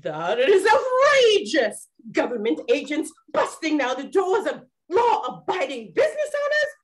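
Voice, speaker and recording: A high, swooping voice for a flamboyant 1920s lady detective acted by a woman. She is theatrical and draws her words out grandly, as in a period radio play. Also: huge pitch swings, heavily acted. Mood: outraged. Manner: dramatic, drawn-out emphasis. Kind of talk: 1920s period audio drama acting.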